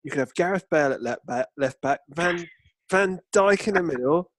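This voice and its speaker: Male podcast co-host, blokey and sweary, higher-pitched, casual football chat, close dry sound. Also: expressive, moderate pace, no room sound.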